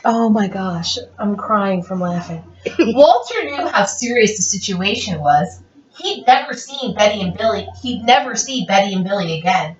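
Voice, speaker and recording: Animated woman reading aloud, roomy distant sound. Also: mid-range voice, moderate pace. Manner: theatrical, expressive, playful. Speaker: read-aloud storyteller. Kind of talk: animated children's picture book read-aloud. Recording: roomy, dull lo-fi, distant mic.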